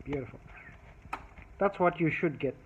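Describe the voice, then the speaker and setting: A narrow, phone-like recording of a man with a slightly high voice. He walks through a technical repair in an unscripted, methodical way, thinking aloud as he demonstrates each step. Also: moderate pace, a little hiss or hum. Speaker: electronics repair hobbyist demonstrating a fix. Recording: thin phone-like band-limited sound.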